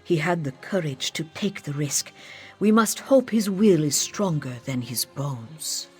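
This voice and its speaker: A woman's voice: low-pitched, animated, measured pace, husky, exaggerated voice. A woman voicing a solemn cat-folk priestess in a fantasy game, speaking formally of herself in the third person. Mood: grave, earnest. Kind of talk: scripted fantasy game dialogue. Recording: background music under the voice.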